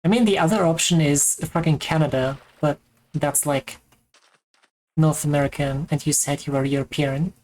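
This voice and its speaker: A woman's voice: sits low, steady tone, moderate pace, a little mellow, poor audio. A woman from Germany with a German accent, chatting casually and rambling with self-mocking humor while she streams a game. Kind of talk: casual gaming chat.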